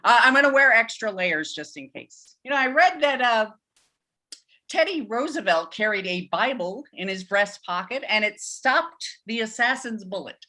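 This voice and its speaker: Woman playing a bright-voiced, rambling, joking church pastor. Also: a little high, lively intonation. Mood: wry, joking. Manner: halting, chuckling, informal sermon delivery. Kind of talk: live staged play reading.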